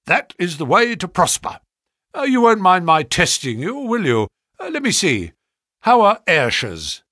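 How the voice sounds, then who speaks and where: A man voicing a brisk, flattering financial agent in a story, speaking sharply with grand, pushy salesmanship. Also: high-pitched, dry recording, studio quality, voice pushed up, heavily acted. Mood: brisk, testing. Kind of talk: audiobook character dialogue.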